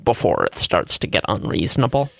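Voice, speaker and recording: A man's voice: on the low side, wildly swooping pitch, brisk, gravelly, no echo. A man co-hosting a radio show, talking casually with dry, wry humor. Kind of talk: casual chat.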